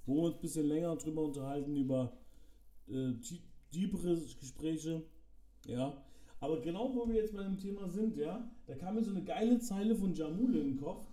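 A young man co-hosting a casual podcast chat, talking in slangy, relaxed street speech and joking around. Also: a little high, fairly quick, far from the mic. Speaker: podcast co-host. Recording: echoey room, set back from the mic.

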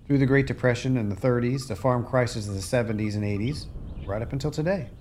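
A man's voice: mid-range voice, fast, darkish tone, slight background noise, natural voice. A man playing a weary, plainspoken American farmer who has lost his family land, in a folksy radio play style. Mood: nostalgic pride.

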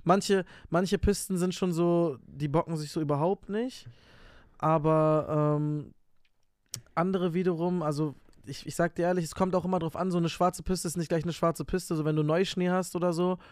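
A young man co-hosting a podcast, energetic and slangy with crude banter. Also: a little high, normal speed, no room sound, studio quality. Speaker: podcast co-host. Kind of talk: casual chat.

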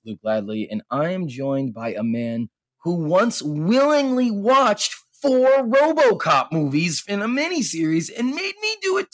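Hoarse, raspy man hosting a podcast. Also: on the high side, dry recording. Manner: laughing, profane, joking. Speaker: podcast host. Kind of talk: casual podcast banter.

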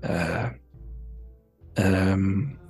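A man's voice: low-pitched, fairly even intonation, extremely slow, a little mellow, husky, faint music behind. A man talking casually and conversationally as the host of a podcast.

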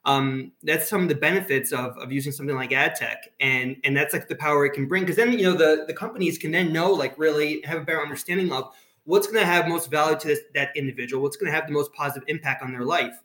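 A man's voice: fairly high pitch, fast talker, bright, forceful tone. Man, New York accent, rambling, fillers, echoey room. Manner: friendly, rambling, many "like" and "you know". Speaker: guest colleague. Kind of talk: casual conversation.

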